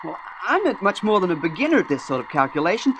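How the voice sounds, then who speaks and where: A woman's voice: fairly low pitch, very animated, fast talker. A woman playing a practical, plain-spoken boy space adventurer in an old-time radio drama, matter-of-fact and colloquial. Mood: sober, determined. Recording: vintage radio recording, faint noise.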